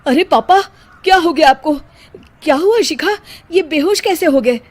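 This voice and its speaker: A woman voicing a friendly young husband in a cartoon story, with an easygoing, relaxed manner. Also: sits high, moderate pace, voice pushed up.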